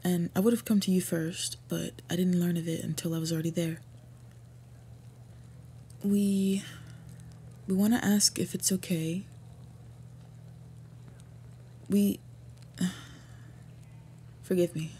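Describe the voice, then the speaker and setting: A woman playing an earnest, respectful young orc princess in a fantasy roleplay audio, speaking softly and haltingly with tender pauses. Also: fairly low pitch, flat delivery, slight background noise. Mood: pleading, nervous.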